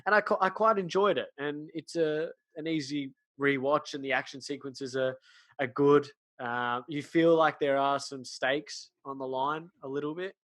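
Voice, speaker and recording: A man's voice: fairly high pitch, steady tone, normal speed, fairly bright tone. A man chatting casually as a podcast co-host, informal and blokey, with conversational asides. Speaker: podcast co-host discussing films. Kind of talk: casual chat.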